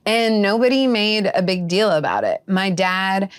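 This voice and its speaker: A middle-aged woman from the Seattle area, telling stories as an interview guest in a relaxed, reflective way, laughing easily. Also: medium pitch, unhurried, clean tone. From Seattle area, USA. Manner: warm, laughs often, reflective storytelling. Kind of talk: casual conversation.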